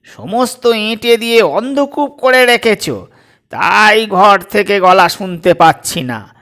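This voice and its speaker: A man voicing a fussy, irritable but doting old father in a comic audio story, scolding with emphatic, drawn-out delivery. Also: high voice, a bit bright, voice pushed up, exaggerated voice. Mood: irritated.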